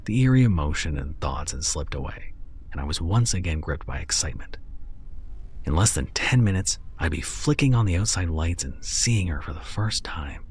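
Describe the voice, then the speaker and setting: Deep-voiced male horror narrator. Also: lively intonation, slightly rough. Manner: measured, storytelling. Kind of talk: scripted first-person horror narration. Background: soft background music.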